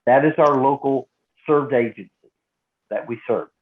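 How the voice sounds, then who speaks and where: A man giving an instructional talk to a group as a trainer, speaking emphatically and deliberately and repeating points for stress. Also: mid-range voice, slight background noise. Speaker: emergency radio volunteer trainer. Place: indoor room, live training session. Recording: roomy echo, phone-like narrow band.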